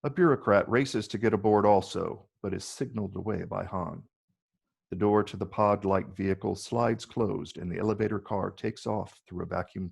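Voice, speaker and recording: A man with a gravelly, husky voice reading scripted screenplay narration. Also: fairly low pitch, a little mellow. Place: virtual call from separate location.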